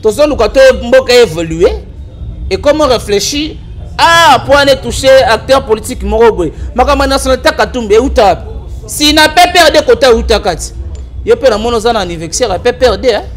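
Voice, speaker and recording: A man with a Congolese accent, an animated and argumentative political commentator on a talk show. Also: very high-pitched, fairly quick, faint music behind. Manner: animated, argumentative, mixes French and Lingala.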